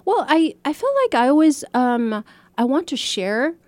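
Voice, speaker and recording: A woman's voice: sits high, lively intonation, unhurried, a little mellow, dry recording. A middle-aged woman from China, a professor guest on a radio talk show, speaking earnestly with many restarts and fillers. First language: Chinese. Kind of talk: radio interview.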